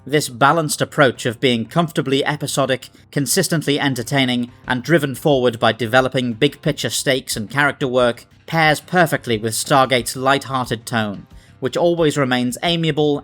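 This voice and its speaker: A man's voice: medium pitch, moderate pace, a bit bright. Articulate man narrating over music. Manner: articulate, analytical. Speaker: video essay host, writer and analyst. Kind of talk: scripted video essay narration. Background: background music.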